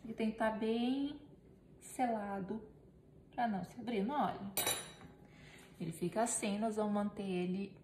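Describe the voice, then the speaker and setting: A woman's voice: mid-range voice, normal speed, long pauses, dark tone. A woman in her thirties demonstrating a recipe in her home kitchen, chatting playfully with viewers and her helper as she works. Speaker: home cooking vlogger. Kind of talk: casual cooking demonstration. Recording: echoey kitchen with clear background noise.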